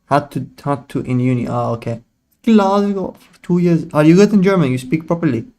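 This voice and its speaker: A man's voice: on the high side, animated, a little fast, dark tone. A young man hosting a live trading stream, joking with chat in slang and explaining chart setups in loose bursts. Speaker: livestream trading host.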